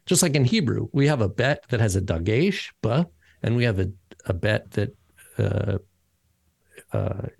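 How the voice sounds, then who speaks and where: A man's voice: lowish voice, big pitch swings, a little slow, vocal fry, a little mellow, breathy edge, no echo, clean studio sound. A man co-hosting a podcast who explains letters and sounds in a casual, lecturing way. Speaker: podcast co-host and teacher.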